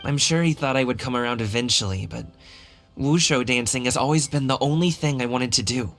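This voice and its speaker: Guy doing a young dancer from a video game, friendly n earnest, bright voice, casual, faint music behind. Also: medium pitch, fairly quick, natural voice. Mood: earnest, wistful. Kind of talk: video game character acting.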